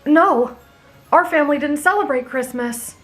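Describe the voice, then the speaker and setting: A high, gentle teenage boy hero played by a woman from an anime audio roleplay. He is earnest, kind and naive, explaining things sincerely over faint music. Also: moderate pace, natural voice. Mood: kind, explanatory.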